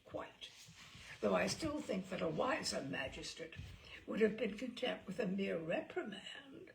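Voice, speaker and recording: A woman's voice: mid-range voice, very animated, unhurried, creaky, slight background noise, full character voice, poor audio. A woman voicing a mischievous English lord of about sixty, grand and leisurely, relishing his words, in a storybook reading. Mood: jaunty, nostalgic. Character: jaunty, mischievous sixty-ish English lord, an incorrigible uncle.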